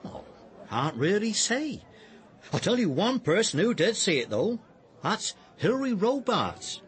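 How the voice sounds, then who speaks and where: An actor playing a talkative, down-to-earth village pub landlord with an English accent in a radio drama, chatty and folksy. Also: male voice, high voice, very animated, measured pace. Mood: relishing the tale.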